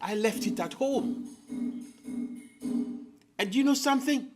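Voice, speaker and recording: Man playing a high-voiced, clever storybook goatherd. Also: over music. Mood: persuasive. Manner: measured, polite, persuasive. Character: calm, clever male goatherd outwitting a predator.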